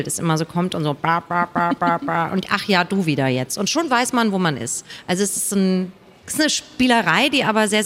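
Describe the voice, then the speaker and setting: A woman author speaking conversationally as a panel guest on stage, reflective and halting, often repeating words as she thinks. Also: mid-range voice. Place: live stage at a book fair.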